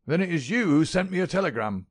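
A man voicing a querulous, miserly little old lord with a sharp crackling voice and a jerky, intense manner. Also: fairly high pitch, animated, fast talker, voice pushed up. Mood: indignant, stingy.

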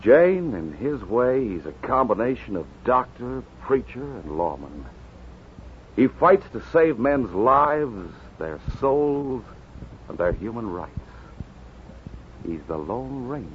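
A sincere young frontier doctor. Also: male voice, medium pitch, very animated, slow. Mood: calm, grateful. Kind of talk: 1950s radio western acting. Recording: tinny, noisy 1950s radio recording.

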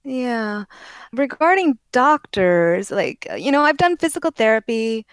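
A woman's voice: fairly high pitch, very animated, measured pace, smooth, no room sound. A woman from Oregon with a very young-sounding voice, talking as an interview guest in a rambling, self-correcting way and laughing often. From Oregon, USA. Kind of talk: casual interview conversation.

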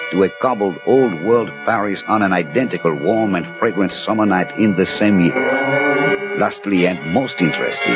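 An actor playing a refined French detective with measured, formal diction in an old radio drama. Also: male voice, on the low side, lightly acted. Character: refined, cerebral French detective Dupin, grave and courteous. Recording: dull vintage radio recording with music.

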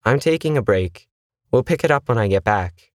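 A man's voice: medium pitch, animated, moderate pace, no echo, studio quality, voice pushed up, natural voice. Man reading as a stressed, curt woman trainer. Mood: stressed, protesting. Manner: curt, defensive.

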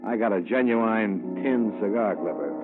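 An American actor playing a wry, deadpan insurance investigator in a 1950s radio detective drama. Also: male voice, lowish voice, normal speed, close to an everyday voice. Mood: wry narration. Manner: deadpan, wisecracking. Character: wry, hard-boiled male insurance investigator. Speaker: lead actor and narrator. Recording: tinny 1950s radio, music behind.

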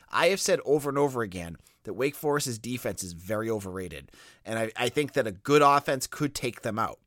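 A man with a Boston accent talks sports on his podcast, his pitch swinging up and down as he thinks aloud. His voice is bright and forward. It sits close and dry on the mic, with no room behind it. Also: dry recording. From Boston area. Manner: animated, thinking aloud with restarts. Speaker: podcast host. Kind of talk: casual sports podcast talk.

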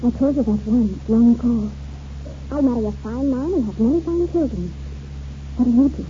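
Female actor as caring teenage girl, gently firm, high voice, old-time radio drama, muffled noisy sound. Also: normal speed, natural voice. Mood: tender. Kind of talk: 1940s radio acting.

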